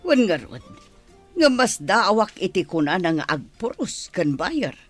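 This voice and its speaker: A woman's voice: on the low side, very animated, measured pace, soft background music. A woman acting a prickly, choosy middle-aged village mother in a radio drama. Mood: disapproving.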